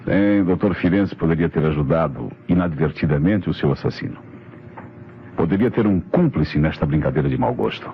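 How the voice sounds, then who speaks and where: A Brazilian man playing a methodical, authoritative police inspector in an old radio mystery, explaining in a deliberate, declamatory way. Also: very deep voice, lively intonation. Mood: assured, expository. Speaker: radio drama actor. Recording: dull, noisy vintage radio recording.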